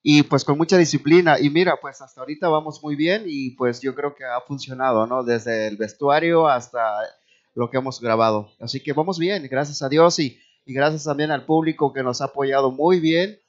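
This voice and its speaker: A man guesting on a music show as a band member, chatting casually and joking in a relaxed way. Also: mid-range voice, moderate pace, highs cut off. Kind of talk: casual chat. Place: TV studio set, indoors.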